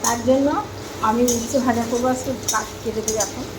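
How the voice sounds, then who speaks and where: A woman's voice: fairly high pitch, steady tone, a little fast. A woman vlogging casually at home, talking to viewers, repeating her phrases and asking them questions. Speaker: home cooking and eating vlogger. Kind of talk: casual chatty vlog talk to viewers. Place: indoors at home. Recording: echoey room with clear background noise.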